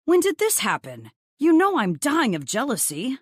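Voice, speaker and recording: A woman's voice: high voice, wildly swooping pitch, moderate pace, slightly rough, no room sound, clean studio sound, voice pushed up, exaggerated voice. A woman voicing a gossipy, teasing young male best friend in a romance audiobook, effusive and blunt. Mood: mock jealous.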